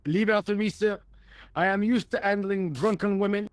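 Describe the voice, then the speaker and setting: A man's voice: sits high, fairly even intonation, normal speed, natural voice. A man playing a deferential, earnest and rather dim French police assistant with a French accent in a video game. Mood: dutiful, earnest. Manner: deferential, earnest, literal-minded.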